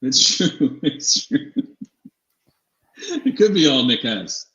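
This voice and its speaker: A man's voice: mid-range voice, big pitch swings, slow, sharp tone. A man co-hosting a fantasy sports show in casual, informal chat, often repeating phrases for emphasis. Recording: slightly dull, echoey room sound.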